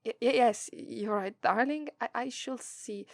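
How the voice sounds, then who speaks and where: A woman's voice: a little high, unhurried, gravelly, no room sound. Woman voicing a giggly Italian-accented demon princess bride. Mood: flustered, polite. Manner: giggly, flustered, affectionate. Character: affectionate young demon princess bride, cheerful. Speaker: voice actress. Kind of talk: ASMR roleplay.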